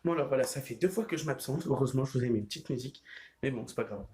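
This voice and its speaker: A man's voice: mid-range voice, rapid-fire, a little mellow, faint music behind. A teenage boy talks casually to his viewers from home, rambling through his thoughts with many fillers and restarts. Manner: rambling, heavy use of fillers like "genre" and "euh". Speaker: vlogger. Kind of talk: casual vlog chat.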